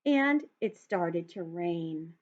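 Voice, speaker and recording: A woman voicing a friendly, patient male frog who tells stories in a children's storybook, with measured, expressive delivery. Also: fairly low pitch, pitched below the performer's own voice. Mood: wistful, storytelling. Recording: dull, lo-fi home recording.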